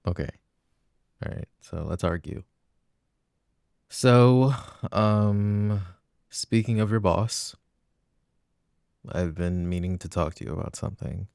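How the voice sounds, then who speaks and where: Man acting a deep-voiced, loving but jealous boyfriend. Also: vocal fry, darkish tone, clear, resonant tone, dry recording, studio quality, heavily acted. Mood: hesitant, reluctant, then uneasy, careful. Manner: hesitant, halting, intimate. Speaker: voice actor. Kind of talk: boyfriend roleplay ASMR.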